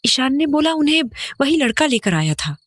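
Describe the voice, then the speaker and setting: An uneasy mother played by an actress in a horror audio drama. Her voice is fairly high and very animated, questioning and conversational, recorded close and dry. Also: brisk, dry recording. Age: adult. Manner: questioning, conversational, Hindi mixed with English. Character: uneasy mother and wife, returning to her old home.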